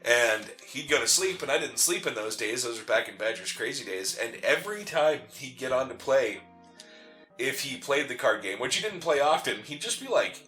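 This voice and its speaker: Animated, rambling man with a bright voice. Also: mid-range voice, moderate pace, soft background music. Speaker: podcast co-host playing a video game. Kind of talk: casual chat over gameplay.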